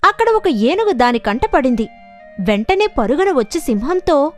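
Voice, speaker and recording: A woman's voice: high-pitched, big pitch swings, normal speed, faint music behind. A woman narrating a scripted children's story as a storyteller.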